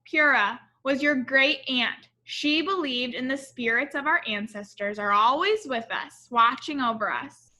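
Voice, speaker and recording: Woman reading a loving storybook father, bright-voiced. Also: high-pitched, a little slow. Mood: fond, reflective. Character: loving father telling family stories in a storybook.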